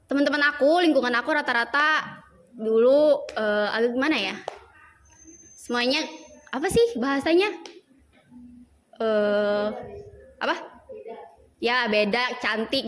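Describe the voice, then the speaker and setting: A woman's voice: on the high side, fairly bright tone. A nineteen-year-old woman talking casually in an interview, hesitant and searching for her words. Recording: reverberant hall, faint noise and clicks.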